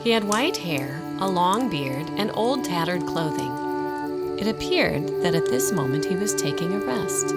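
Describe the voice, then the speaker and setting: A woman narrating a scripted radio drama in an expressive storybook style. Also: on the low side.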